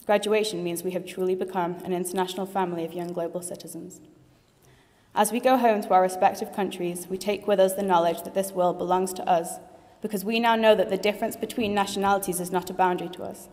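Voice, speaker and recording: A woman's voice: fairly low pitch, steady tone, fast, a little mellow. Earnest teenage girl giving a speech in a hall. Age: late teens. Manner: earnest and heartfelt, with light jokes. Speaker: graduating student speaker. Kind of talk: formal graduation speech. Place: large assembly hall. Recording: long reverb of a large hall.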